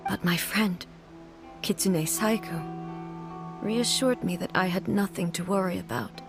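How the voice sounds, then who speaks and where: A woman playing a composed, solemn ruler deity in a video game, speaking in a formal, measured manner. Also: fairly low pitch, over music. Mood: solemn, sorrowful. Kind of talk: dramatic video game acting.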